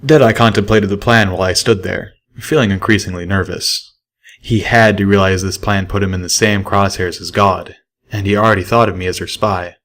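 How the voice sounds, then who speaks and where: A man reads first-person fiction as an audiobook narrator. His voice sits on the low side, and the delivery is scripted storytelling. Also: steady tone, brisk, fairly bright tone. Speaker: audiobook reader.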